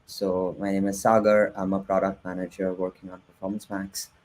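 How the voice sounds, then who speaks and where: Guest: man with a very deep, flat voice, soft-spoken, faint noise behind. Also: normal speed. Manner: soft-spoken, hedging with "sort of". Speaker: guest product manager. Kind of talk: webinar interview.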